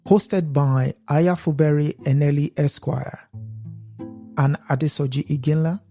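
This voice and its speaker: A man hosting a podcast, introducing and questioning his guest in measured, formal phrasing. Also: on the high side, dark tone. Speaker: podcast co-host.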